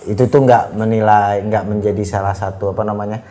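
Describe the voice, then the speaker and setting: A man's voice: lowish voice, flat delivery, a little fast, warm tone. Rambling young man hosting a podcast. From Aceh, Indonesia. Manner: friendly, rambling with fillers. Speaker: podcast host. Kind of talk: casual podcast interview.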